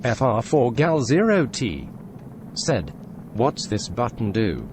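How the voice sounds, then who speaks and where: A man's voice: mid-range voice, slow, background noise. A synthetic male text-to-speech voice reading out usernames and chat messages one after another. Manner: reads usernames then messages flatly.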